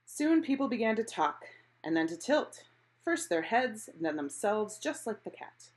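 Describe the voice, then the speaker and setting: A woman reading a picture book aloud expressively, in an animated storytelling manner. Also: medium pitch, normal speed. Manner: animated, acting out characters' exclamations.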